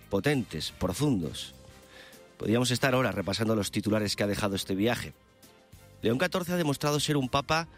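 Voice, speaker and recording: A man delivers a radio chronicle. His pitch is average and his phrasing is eloquent and descriptive. Faint music plays behind him. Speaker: radio news presenter. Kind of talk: live radio chronicle, partly scripted. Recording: music bed under the voice. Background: background music.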